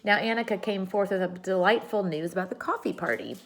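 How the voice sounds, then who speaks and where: A woman reading a children's story aloud with expressive narration. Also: medium pitch, fairly quick, background noise. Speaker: storybook reader.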